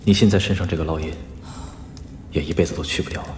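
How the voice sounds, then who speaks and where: Guy acting stern young noble swordsman, really low voice, cold n terse, live audio drama, echoey hall, music going. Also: steady tone, fast talker, a little hiss or hum, natural voice. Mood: stern, restrained.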